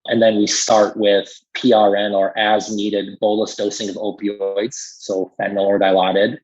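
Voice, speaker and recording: A man's voice: lowish voice, little pitch movement, normal speed, a little mellow. A man explaining things conversationally as a pharmacist guest in an interview, emphatic when he agrees, on slightly muffled audio. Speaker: critical care pharmacist guest.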